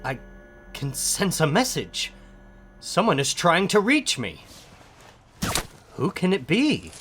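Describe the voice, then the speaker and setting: A man's voice: fairly high pitch, huge pitch swings, takes it slowly, noisy background, full character voice. A man voicing a cocky, wisecracking young superhero in a comic book dramatic reading, boyish, breezy and slangy. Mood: eager, surprised.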